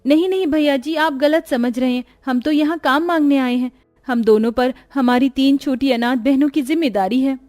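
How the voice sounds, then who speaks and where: A woman voicing a caring, sorrowful young orphan elder sister in a gentle, pleading, earnest way for a cartoon story. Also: high-pitched, moderate pace, no room sound, voice pushed up, lightly acted.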